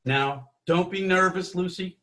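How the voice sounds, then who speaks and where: A man's voice: high voice, unhurried, a bit bright. A man playing an anxious, placating lawyer in a screenplay table read, hesitant and soothing. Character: anxious, placating male lawyer and business manager.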